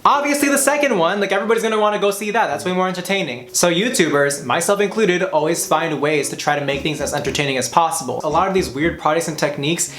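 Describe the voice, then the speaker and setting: A man's voice: fairly high pitch, quick pace, few pauses, fairly bright tone, echoey room. A man chatting casually through a makeup tutorial, sassy and slangy, losing his voice. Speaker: makeup vlogger.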